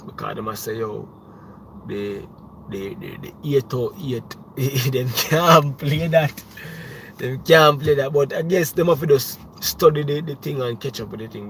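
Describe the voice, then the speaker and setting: A young man with a thick Jamaican accent chats loosely on a live stream, mid-pitched and animated. He keeps circling back with restarts and fillers. Faint noise sits under his voice. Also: gravelly. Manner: animated, rambling, many restarts and "you know what I mean". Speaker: music producer and livestream host. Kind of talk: casual livestream talk.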